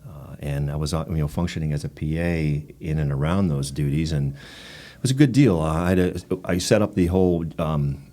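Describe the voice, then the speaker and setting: Very deep-voiced middle-aged man, talkative. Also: moderate pace, dark tone. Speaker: podcast guest, former military physician assistant. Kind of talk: conversational storytelling.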